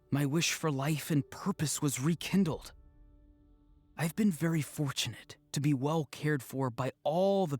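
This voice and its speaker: An actor playing a resolute, noble young warrior in a video game, heartfelt and earnest. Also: male voice, a little high, a little mellow, slightly rough.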